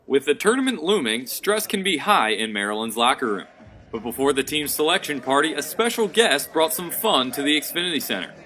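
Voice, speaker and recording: Higher-pitched young male sports reporter. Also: animated, a little fast, pushed and bright, soft background music. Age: young adult. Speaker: student sports reporter and anchor. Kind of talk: scripted highlight narration and field reporting.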